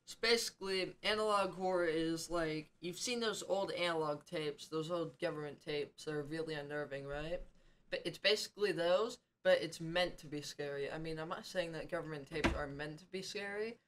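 A man's voice: on the high side, moderate pace, fairly bright tone. A young man giving casual reaction commentary as the host of a video, recorded in a room at home. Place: small home room, indoors.

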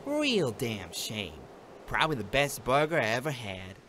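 An actor playing a brash, foul-mouthed, sardonic demon gangster in a comic dub. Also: male voice, medium pitch, huge pitch swings, unhurried, fairly bright tone, slight background noise. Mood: mock wistful. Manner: sneering, slangy, swaggering. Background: music.

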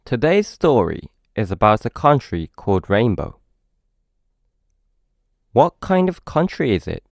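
Man, British accent, children's storybook narration, unhurried, expressive, close dry recording. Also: medium pitch, creaky, no room sound. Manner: expressive, addressing a young child. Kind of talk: children's story read-aloud.

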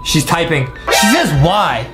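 Hyped guy in his 20s, high voice jumping all over, loud music behind him. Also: very animated, a little slow. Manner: hyped, exaggerated, slangy, repetitive. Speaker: YouTube reaction host. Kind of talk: excitable comedic reaction chat.

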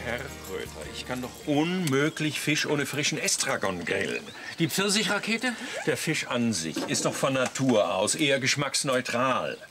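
An actor playing a fussy, courtly middle-aged gourmet in a radio comedy, pompous, flowery and polite. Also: male voice, medium pitch, sing-song, moderate pace, hardly any pauses, music in the background, lightly acted. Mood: indignant, pompous. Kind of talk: radio comedy drama acting.

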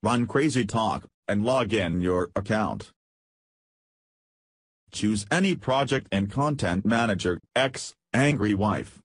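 A man reading a scripted, step-by-step software tutorial as a voice-over narrator. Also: lowish voice, lively intonation, slow, breathy edge, dry recording, clean studio sound.

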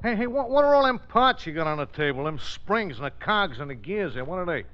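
A man's voice: high-pitched, sing-song, a little fast. A man playing a cocky, boastful, slangy tough guy in a 1950s radio comedy. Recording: muffled noisy radio, loud studio audience.

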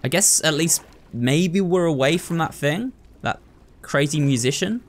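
A man's voice: a little high, a little slow, a little hiss or hum. A man hosting a video game playthrough, thinking aloud and talking to viewers in a running commentary. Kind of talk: excitable gameplay commentary.

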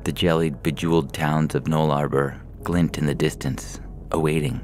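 A man's voice: a bass voice, steady tone, moderate pace, darkish tone, soft background music. A man reading a science fiction story aloud as a scripted narration.

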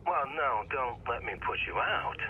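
A man's voice: mid-range voice, lively intonation, normal speed. A man playing a slick, wry underworld fixer in a video game, glib and needling, heard on a phone call. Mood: breezy, needling. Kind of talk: video game cinematic acting.

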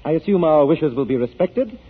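An actor playing a possessive, imperious husband and opera manager, formal and emphatic in 1940s radio style. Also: male voice, on the high side, lively intonation, brisk, slightly rough. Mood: firm, imperious. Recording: tinny, noisy 1940s radio broadcast.

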